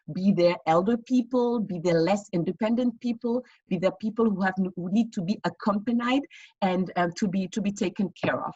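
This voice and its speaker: Husky-voiced woman founder on a video call. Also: medium pitch, a little mellow, no echo, highs cut off. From West Africa. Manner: earnest, explanatory, many fillers and restarts. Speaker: fintech founder. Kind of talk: online panel interview answers.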